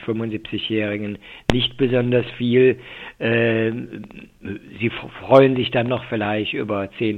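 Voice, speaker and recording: An older man explaining things as an expert in a radio interview over the telephone, with halting delivery and many filled pauses. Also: on the low side. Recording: muffled, thin telephone line.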